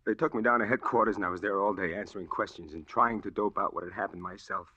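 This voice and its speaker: A man's voice: deep voice, fast, bright, forceful tone, breathy edge, no echo, muffled recording, heavily acted. An actor playing a desperate, obsessed man with earnest, confiding delivery in 1940s radio style. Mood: confiding, brooding. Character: desperate, obsessed man narrating his hunt for truth. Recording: old radio drama with music.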